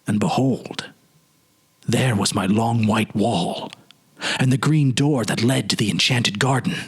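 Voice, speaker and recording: A man voicing a wistful middle-aged gentleman who hesitantly muses over a childhood memory in a dramatic story reading. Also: on the low side, measured pace, husky, heavily acted. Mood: animated, wondering. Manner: hesitant, musing, reminiscing.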